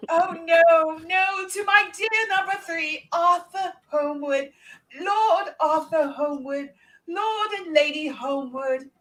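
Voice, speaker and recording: A woman playing an excitable young society bride-to-be in a comedic radio play, gushing with drawn-out words. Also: very high voice, recorded from a distance, pitched above the performer's own voice, full character voice, poor audio. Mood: giddy.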